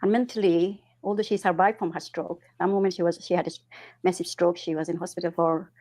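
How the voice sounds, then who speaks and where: Earnest middle-aged woman from Bangladesh, lowish voice, halting delivery. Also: fairly even intonation, dark tone. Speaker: interview guest, councillor. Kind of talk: personal testimony in interview.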